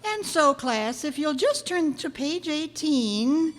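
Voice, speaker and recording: In a reverberant hall, an actress plays a quick-witted female schoolteacher from a radio sitcom. Her high voice swings widely in pitch as she tosses off dry, sardonic wisecracks. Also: very animated, faint music behind, heavily acted. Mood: brisk, sardonic. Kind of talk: old-time radio sitcom acting before an audience. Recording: echoey hall, amateur stage performance.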